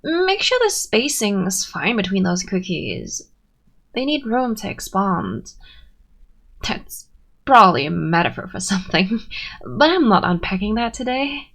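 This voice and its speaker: Woman acting a bashful, slow-talking tsundere girl friend. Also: medium pitch, sing-song, a bit bright, heavily acted. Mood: brisk, bossy. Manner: flustered, hesitant, haughty denials. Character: bashful tsundere young female friend, abrasive yet sweet. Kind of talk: ASMR roleplay, acted intimate monologue.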